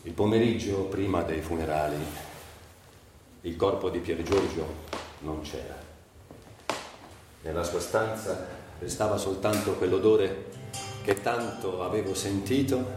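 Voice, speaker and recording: An actor performing a theatre monologue as a grieving, brooding man, intimate and reflective, in halting short phrases. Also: sits low, over music. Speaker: stage actor. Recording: very echoey distant stage recording, faint noise.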